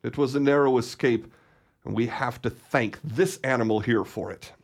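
A man's voice: mid-range voice, normal speed, creaky. Man playing an emphatic, protective father. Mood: proud, indignant. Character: well-off father and boss, gruffly protective. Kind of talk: radio play acting.

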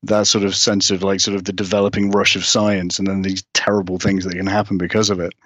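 A man's voice: low-pitched, little pitch movement, fast, a bit bright, dry recording. A man chatting informally as an interview guest, in a rambling, self-deprecating way. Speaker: horror writer, interview guest. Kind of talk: casual interview chat.

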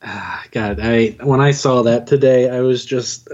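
A man's voice: mid-range voice, measured pace, a little mellow, highs cut off. A man chatting casually as a podcast guest, with a wry, self-deprecating way of talking. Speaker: podcast guest, audio drama writer. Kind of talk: casual chat.

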